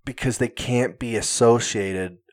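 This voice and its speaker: A man's voice: mid-range voice, measured pace, a little mellow. A man talking casually on a podcast, hesitant, full of fillers and restarts, with self-mocking humor. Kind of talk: casual conversation.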